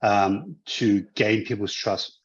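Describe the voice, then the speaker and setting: A man's voice: lowish voice, takes it slowly, lo-fi sound. A man from Hong Kong who grew up in Australia, a guest in a casual interview, who talks with many restarts and fillers. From Hong Kong, raised in Australia.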